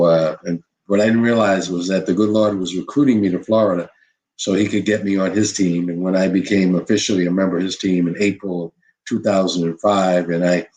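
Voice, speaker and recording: An older man, a former basketball coach, telling stories from his career in an unhurried interview, full of "you know" and restarts. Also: low-pitched, a little mellow, poor audio. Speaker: former college basketball head coach, guest. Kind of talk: reflective interview storytelling.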